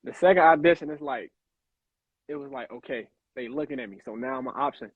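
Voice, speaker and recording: A man's voice: mid-range voice, a little fast, slightly rough, dry recording. A young man from Chicago, an actor guest in a casual interview, talking in a laid-back, rambling way full of fillers over a video call. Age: young adult. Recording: tinny phone-like line.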